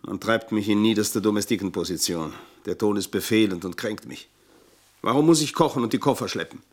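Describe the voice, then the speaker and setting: A man's voice: on the low side, fairly quick, lightly acted. A middle-aged man in a German radio play, playing a scheming manservant who speaks in stilted, formal, self-pitying phrases. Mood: weary, aggrieved. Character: aggrieved, pompous, scheming ageing manservant.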